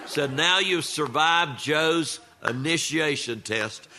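A man's voice: on the high side, slow pace, pushed and bright, slight background noise. An older man telling humorous family stories in a folksy, conversational manner, acting out the dialogue of relatives as he goes. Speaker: storyteller. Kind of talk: live humorous family storytelling.

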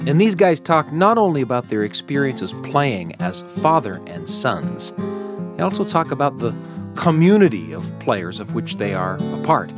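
Expressive male storytelling radio host, American. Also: medium pitch, music in the background. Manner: earnest, dramatic pauses. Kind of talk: scripted narration for a storytelling show.

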